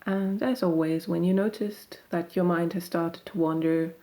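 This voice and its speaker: Woman, meditation guide, soft dark tone, creaky edges, gentle and unhurried. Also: lowish voice. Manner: gentle, unhurried, soothing instruction with long pauses.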